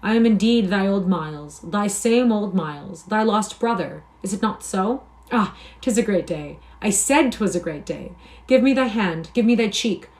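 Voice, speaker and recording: A woman's voice: medium pitch, expressive, moderate pace, a little mellow, natural voice. A woman reading the part of an eager young soldier who has just come home, speaking in impassioned, old-fashioned English. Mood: elated. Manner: impassioned, archaic speech.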